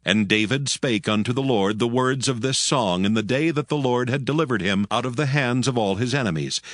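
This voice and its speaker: A man giving a solemn, measured, scripted narration of dramatized scripture, with music playing behind him. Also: medium pitch, fairly bright tone, dry recording, clean studio sound.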